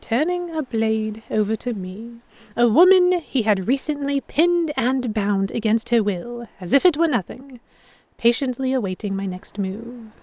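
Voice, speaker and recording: A woman narrating in first person as a composed captive in a historical pirate audio drama, with measured, dramatic storytelling. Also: fairly high pitch, animated, warm tone, no room sound. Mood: measured, tense. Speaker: narrator and lead actor.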